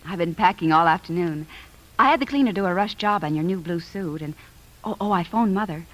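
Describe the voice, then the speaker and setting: An actress playing a devoted young wife and mother, gentle and affectionate, in 1950s radio drama style. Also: fairly low pitch, a little fast, close to an everyday voice, low-quality recording. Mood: pleased. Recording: hissy vintage radio broadcast.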